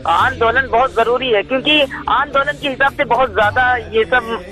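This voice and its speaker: A man's voice: very high voice, steady tone, normal speed, bright, forceful tone. A man talking on a phone call as an activist, deferential but insistent, often repeating himself. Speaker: activist caller. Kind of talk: casual phone discussion. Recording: live call recording with music behind.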